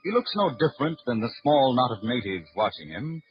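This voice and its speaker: An American man announcing and narrating from a script in 1940s radio style, with a husky voice. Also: mid-range voice, very animated, fairly quick. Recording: muffled old radio recording.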